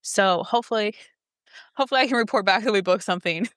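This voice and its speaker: Smooth-voiced chatty woman podcaster. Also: mid-range voice, fast, a bit bright, no echo, studio quality. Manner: chatty, peppers speech with "like". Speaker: podcast co-host and travel agent. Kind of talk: casual podcast chat.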